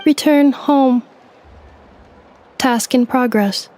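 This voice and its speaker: A woman's voice: sits high, very slow, dark tone, a little hiss or hum. A clipped, mechanical girl robot. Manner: clipped, speaks in short status reports.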